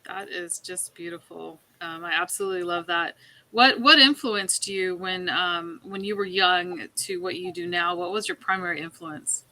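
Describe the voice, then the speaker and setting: A woman's voice: lowish voice, normal speed, sharp tone, rough recording. A woman interviewing a guest in a conversational way as a talk show host.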